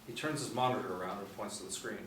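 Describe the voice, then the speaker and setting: Low-voiced male narrator, echoey room. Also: quick pace, fairly bright tone. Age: 40s. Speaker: narrator reading stage directions. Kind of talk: scripted narration at a table reading. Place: small indoor room. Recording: echoey room, distant mic, background noise.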